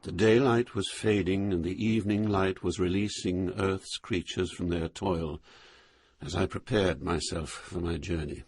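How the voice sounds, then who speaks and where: Low-voiced, sombre poet narrator. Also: male voice, steady tone, darkish tone, husky, no echo. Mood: reflective. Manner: literary, deliberate, sombre. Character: Dante the poet, grave man recounting his journey. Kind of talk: scripted dramatic narration.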